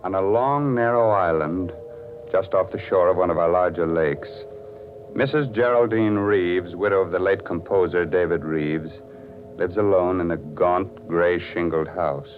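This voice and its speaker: A man's voice: sits low, unhurried, soft background music. A man announcing and narrating in scripted 1940s radio style, theatrical and polished. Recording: muffled, narrow-band 1940s radio recording.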